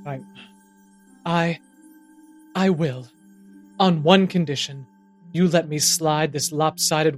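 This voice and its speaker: A man delivering scripted comedic audio drama dialogue in a melodramatic manner. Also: on the high side. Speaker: show cast member playing the mayor.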